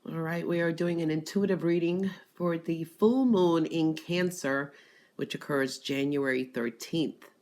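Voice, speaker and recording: A woman with a stuffed-up, congested voice giving an intuitive spiritual reading, pausing often with "um" and repeating that she feels the energy. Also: deep voice, fairly even intonation, darkish tone. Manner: hesitant, frequent "um" and "I do feel that energy". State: congested from allergies. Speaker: tarot and gemstone reader.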